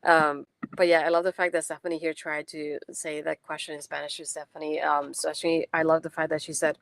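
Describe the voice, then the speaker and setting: A woman's voice: low voice, level tone, a little fast, gravelly, no room sound. A young woman, not a native English speaker, hosting a casual post-show recap in a chatty, enthusiastic way with many fillers. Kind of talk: casual wrestling event recap.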